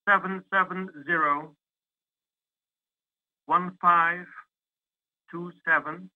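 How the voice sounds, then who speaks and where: A woman assisting on a conference call over the phone, deliberately reading out details piece by piece. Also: on the low side, phone-quality sound. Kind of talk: slow, deliberate number dictation. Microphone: phone line on conference call.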